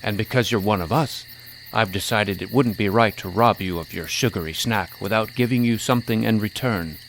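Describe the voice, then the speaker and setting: A man's voice: on the low side, normal speed, a bit bright, a little hiss or hum. A man playing a sly, greedy trickster jester in a fantasy audio story, with a showy, teasing way of savouring his words. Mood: grand, bargaining.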